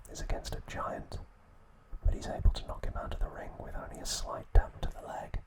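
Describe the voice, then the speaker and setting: A man narrating scripted ASMR in a breathy, unvoiced whisper, with a measured, soothing delivery. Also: rough recording. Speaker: ASMR channel host.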